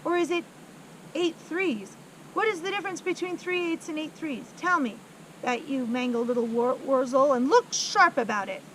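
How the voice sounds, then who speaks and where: A woman voicing a huge, brutal headmistress who bellows slow, heavy insults at a child in a storybook reading. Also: high voice, expressive, a little hiss or hum, rough recording. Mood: bullying.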